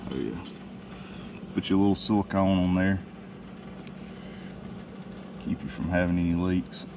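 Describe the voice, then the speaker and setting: A man, casually explaining a how-to job in a driveway with a relaxed, folksy manner and many fillers. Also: low voice, a little slow, vocal fry. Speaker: DIY video host. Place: outdoors in a driveway. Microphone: camera mic. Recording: distant outdoor camera mic with noise.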